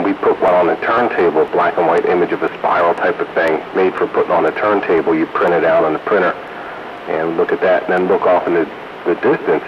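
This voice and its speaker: A man chatting casually over a two-way radio. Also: deep voice. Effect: two-way radio transmission. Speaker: amateur radio operator chatting on a radio round table. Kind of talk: casual chat. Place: radio transmission picked up by a receiver. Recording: muffled radio reception with clear static noise.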